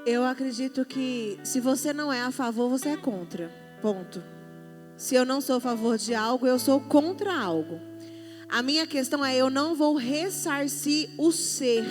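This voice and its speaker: A woman speaks with assertive conviction on a panel, in a preachy manner. Her voice is high. Music plays softly under her. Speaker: panelist at church youth service. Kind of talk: conversational church panel talk.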